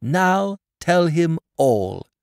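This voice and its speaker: A man voicing an excitable, warm-hearted young wife in a Victorian storybook, breathless and exclaiming. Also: fairly low pitch, a little slow, vocal fry, no echo, studio quality, exaggerated voice. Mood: urgent.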